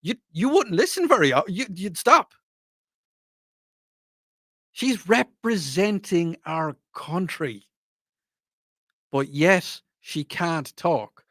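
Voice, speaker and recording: A man's voice: high voice, lively intonation, slow, long pauses, fairly bright tone, dry recording, clean studio sound. An Irish man hosting a talk radio show, rambling and repeating himself with a mocking tone and frequent laughs. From Ireland. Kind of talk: casual talk radio monologue.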